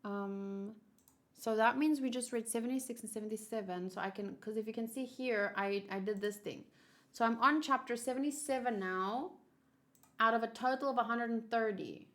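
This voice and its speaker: A woman's voice: on the high side, normal speed. A woman streamer chatting casually with her viewers, rambling and thinking aloud as she often repeats herself. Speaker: streamer hosting a manga read-along. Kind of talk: casual livestream chat with viewers.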